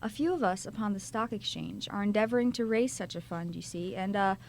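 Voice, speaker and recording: A woman's voice: medium pitch, brisk, creaky, darkish tone, slight background noise, natural voice. A woman playing a genteel charity collector in a radio drama, formal, courteous and earnest.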